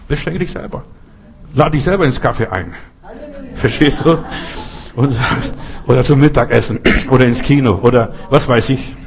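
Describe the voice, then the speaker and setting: Earnest pastor, not a native German speaker. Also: male voice, mid-range voice. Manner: earnest, exhorting, repeats phrases for emphasis. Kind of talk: sermon with personal stories.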